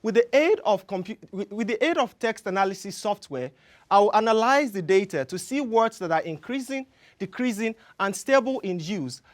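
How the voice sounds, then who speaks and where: A young Nigerian man whose first language is Yoruba, giving an earnest, rehearsed research pitch on a studio stage. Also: lively intonation. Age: young adult. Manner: earnest, measured, emphatic. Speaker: graduate student presenter. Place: large indoor studio stage.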